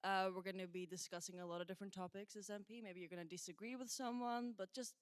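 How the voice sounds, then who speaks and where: A woman presenting ground rules at a meeting in a relaxed, friendly way with casual asides. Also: medium pitch, fast talker, smooth, dry recording, studio quality.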